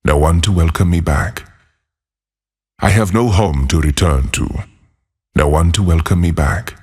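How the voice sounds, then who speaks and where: An actor playing a solitary, haunted former monk turned lawless desert wanderer in a video game, speaking in a solemn, measured, husky voice. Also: male voice, low-pitched, darkish tone. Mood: brooding, solemn. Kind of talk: scripted game character voicelines.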